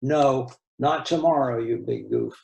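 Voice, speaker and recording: A man's voice: medium pitch, a little slow, creaky, a little mellow, roomy sound, low-quality recording. A man voicing an anxious, affectionate man in his twenties with Down syndrome in a read-aloud story, speaking simply and hesitantly. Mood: fond, reassuring. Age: 20s.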